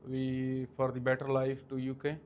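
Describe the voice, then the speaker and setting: A man in his forties from India with an Indian accent, answering interview questions in a halting way with many fillers. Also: medium pitch, monotone, darkish tone, smooth. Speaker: guest, franchise owner.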